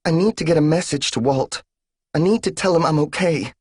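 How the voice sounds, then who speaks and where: A man's voice: fairly high pitch, brisk, darkish tone, husky, no room sound, clean studio sound, voice pushed up. A man reading an audiobook, voicing a shaken young married woman who pleads in a choked, urgent way. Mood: pleading.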